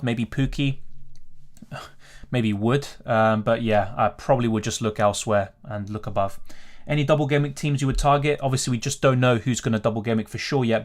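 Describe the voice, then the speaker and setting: A man from Argentina hosting a fantasy football livestream, casually reading out and answering viewer questions in a conversational, off-the-cuff way as he thinks aloud. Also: mid-range voice.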